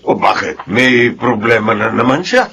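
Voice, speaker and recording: An actor playing an easygoing, good-humored Filipino husband in a radio drama. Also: male voice, mid-range voice, very animated, a little slow, creaky, pushed and bright, exaggerated voice. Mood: reassuring.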